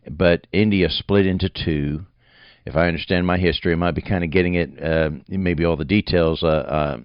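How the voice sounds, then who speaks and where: A man with a very low, deep voice hosts a religious radio talk show, rambling in a folksy way and leaning on fillers as he thinks aloud. The sound is close and dry, slightly dull and muffled. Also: clear, resonant tone, no room sound. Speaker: radio host. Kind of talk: radio interview.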